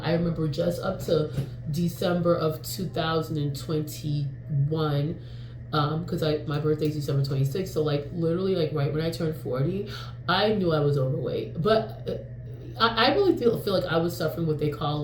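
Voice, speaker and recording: A woman's voice: low voice, normal speed, darkish tone, roomy sound, slight background noise, rough recording. A woman in her forties from New York, casually vlogging in a rambling, frank way with lots of fillers and the odd swear word. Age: 40s. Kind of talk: casual talking-to-camera vlog.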